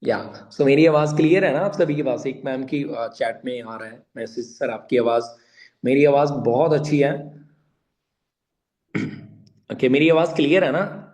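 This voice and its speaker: A man's voice: medium pitch, measured pace, a little mellow, low-quality recording. A man giving informal online training, emphatic and repetitive, addressing listeners directly and mixing in English words. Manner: mixes English words and phrases into speech. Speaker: online network-marketing trainer. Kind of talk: informal instructional talk to an online meeting.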